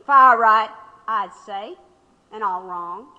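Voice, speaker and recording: A woman's voice: medium pitch, slow, highs cut off, close to an everyday voice. An actress playing a defiant, sarcastic woman activist in her sixties in a radio drama. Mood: mocking, wry. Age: 60s. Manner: sarcastic, mocking, oratorical. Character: defiant, sharp-tongued 66-year-old woman activist under arrest. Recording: reverberant hall-like room.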